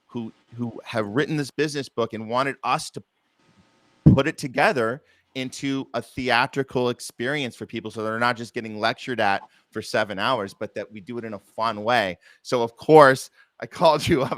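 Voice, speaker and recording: A man's voice: medium pitch, a bit bright, no room sound. A man hosting a casual interview, talking in an effusive, appreciative, rambling way. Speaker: podcast host, theater producer.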